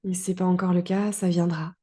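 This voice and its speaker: A woman guiding a relaxation meditation as a yoga teacher, calm and soothing, in short phrases with long pauses. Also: on the low side, breathy edge. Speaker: yoga nidra guide.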